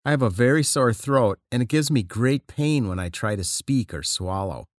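A man's voice: mid-range voice, animated, normal speed, dry recording, clean studio sound. A man reading his part in scripted dialogues for a listening test, in a plain conversational way.

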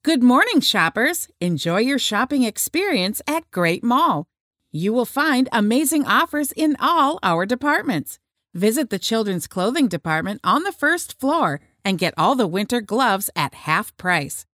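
A woman reads scripted dialogue for a listening exercise, her voice sitting a little high with a lively, swinging melody. She speaks clearly and evenly. The recording is dry and close, with no room sound. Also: measured pace, no room sound. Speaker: listening test voice actor.

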